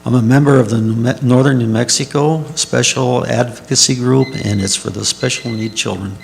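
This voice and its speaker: Man, candidate, very gravelly voice, hesitant, hall echo. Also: mid-range voice, steady tone, normal speed, background noise. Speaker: school board candidate. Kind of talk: answering at a candidate forum.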